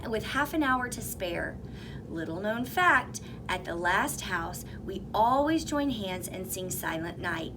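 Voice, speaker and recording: A woman reads a picture book aloud with lively expression, slipping into hushed whispers at tense moments. Her voice sits at an ordinary middle pitch. Clear background noise hangs under the whole recording. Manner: expressive, dropping to whispers for suspense. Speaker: reader. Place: elementary school.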